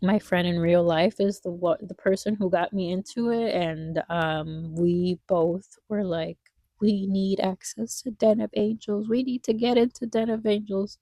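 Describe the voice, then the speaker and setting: A woman's voice: medium pitch, steady tone, measured pace, dark tone, no echo. A young woman vlogger with a congested nose, chatting casually and wistfully while drawing, rambling with lots of fillers.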